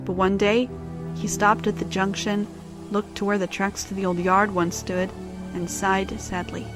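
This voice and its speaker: Woman narrating a story over soft music. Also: mid-range voice, little pitch movement, measured pace.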